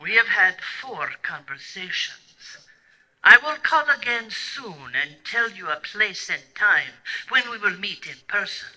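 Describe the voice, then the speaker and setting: A man voices a scheming villainess of old radio drama, high and very sharp. The delivery swoops grandly, declamatory and icily courteous. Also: lively intonation, a little slow, exaggerated voice, low-quality recording. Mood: grandly menacing. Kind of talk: theatrical old-time radio villain acting.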